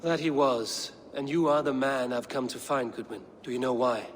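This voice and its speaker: A man acts a terse, menacing Viking warrior for a video game. The voice is mid-pitched, blunt and boastful, over faint background noise. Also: normal speed, natural voice. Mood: wry, resolute. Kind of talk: dramatic video game acting.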